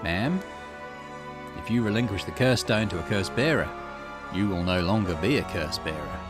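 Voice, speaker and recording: Man playing a low, measured male investigator. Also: expressive, music in the background, natural voice. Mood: calm, earnest. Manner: measured, polite, earnest. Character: calm, reasonable male investigator offering measured counsel. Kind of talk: visual novel character voice.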